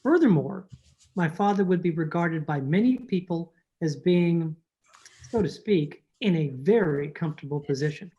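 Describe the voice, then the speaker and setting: A woman's voice: on the low side, animated, dark tone, close to an everyday voice. Woman playing dull-sounding, stuffy, awkward young suitor. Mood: earnest, nervous. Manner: formal, halting, pompous. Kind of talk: old-time radio drama acting. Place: at home, recorded over a video call.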